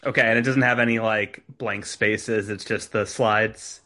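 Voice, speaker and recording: A young man giving a relaxed, conversational science talk as a guest speaker, joining over a video call. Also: fairly low pitch, measured pace. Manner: relaxed, conversational, many ums.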